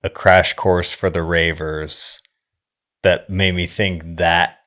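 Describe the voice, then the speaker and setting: A man's voice: low voice, little pitch movement, slow pace, fairly bright tone. A man co-hosting a podcast in casual conversation about films. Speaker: podcast co-host discussing films. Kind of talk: casual film discussion.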